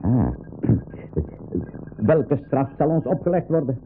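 A man's voice: mid-range voice, animated, slow, soft background music. A man playing an older, stubborn professor and uncle in a 1980s school radio play, speaking emphatically with hesitant repetitions. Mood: firm bargaining. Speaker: radio drama actor. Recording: narrow phone-like old radio recording.

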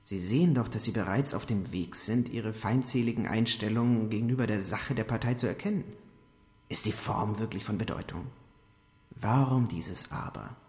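A menacing secret police interrogator acted by a man speaks in a lowish voice with a long, echoing reverb around it. He is formal and insistent, pressing coldly like an official who expects obedience, in the manner of radio drama. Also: normal speed, a little mellow, lightly acted. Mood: impatient, contemptuous. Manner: insistent, coaxing, formal bureaucratic address. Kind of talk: German radio drama acting.

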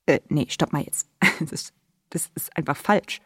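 A woman playing a bewildered performer in a stage drama who insists she is real, halting and repeating herself. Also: low-pitched, a bit bright, husky, no room sound, clean studio sound, full character voice. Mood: flustered objection. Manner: halting, repetitive, protesting. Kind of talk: theatre monologue and dialogue in German.

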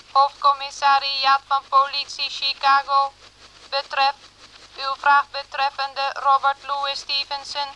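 High, monotone official clerk. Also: female voice, a little hiss or hum, heavily acted, low-quality recording. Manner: clipped, formal, monotone. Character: impersonal woman clerk reading out official police telegrams and memos. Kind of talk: radio drama, flat bureaucratic read-out.

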